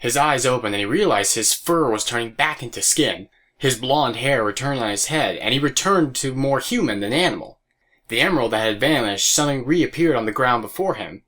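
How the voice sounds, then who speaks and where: A man's voice: medium pitch, animated, brisk, fairly bright tone. A man narrating an audio drama as a scripted story. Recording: music bed behind voice.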